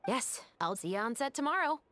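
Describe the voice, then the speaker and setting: A woman playing a composed young investigator with a firm belief in justice, speaking measured, matter-of-fact video game dialogue. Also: fairly high pitch, sing-song, a bit bright, a little hiss or hum. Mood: assured.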